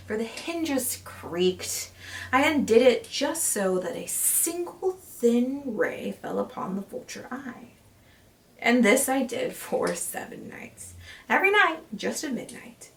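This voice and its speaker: A woman voicing a nervous, secretly gleeful mad narrator who insists on being sane, read as dramatic horror storytelling with intense emphasis and breathy drops. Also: medium pitch. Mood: sly, cautious. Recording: distant mic with background noise.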